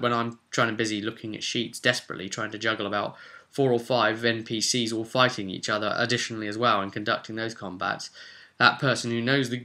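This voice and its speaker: A man's voice: lowish voice, gravelly, bright, forceful tone. A British man talking casually and off the cuff as a game master hosting his own vlog, chatty and thinking aloud. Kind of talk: casual unscripted rambling monologue.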